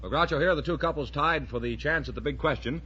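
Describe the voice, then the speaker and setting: A man's voice: on the high side, quick pace, over loud music. An American man doing scripted announcing for a 1950s radio show. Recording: dull 1950s radio recording.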